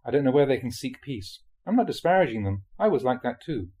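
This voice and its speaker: A male reader delivers a spiritual teaching in an explanatory, measured manner. His mid-pitched voice has a husky grain and moves expressively up and down as he sets out questions and explanations. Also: sing-song, dark tone. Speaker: monk reading dharma talks aloud. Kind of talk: reading a spiritual talk aloud.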